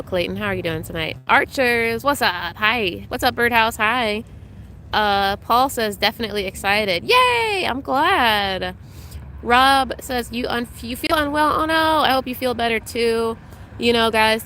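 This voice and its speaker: A woman's voice: a little high, pushed and bright, a little hiss or hum. A young adult woman streaming live, chatting casually and greeting viewers by name, her voice slightly congested, with frequent ums. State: congested, recovering from illness.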